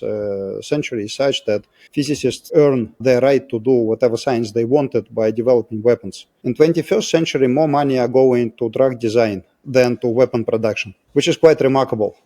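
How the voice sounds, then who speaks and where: A man's voice: medium pitch, moderate pace, hardly any pauses, warm tone. A middle-aged man with a Russian accent, explaining things as an interview guest in a reflective, discursive way. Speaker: interview guest, physicist turned biotech scientist.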